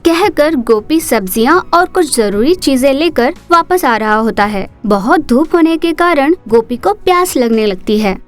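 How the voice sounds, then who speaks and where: A woman narrating a cartoon story in a steady, expressive storytelling manner. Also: high-pitched, measured pace, few pauses, soft background music.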